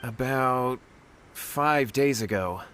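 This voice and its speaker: A man's voice: mid-range voice, slow, a bit bright, slight background noise. A man voicing a desperate young man in a video game, earnest and anxious as he pleads for help.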